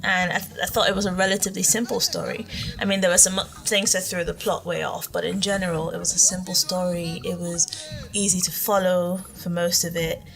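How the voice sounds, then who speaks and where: Low gravelly woman reviewing a film. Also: little pitch movement, a little fast, a bit bright, soft background music. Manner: enthusiastic, thinks aloud with frequent rephrasing. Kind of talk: casual review discussion.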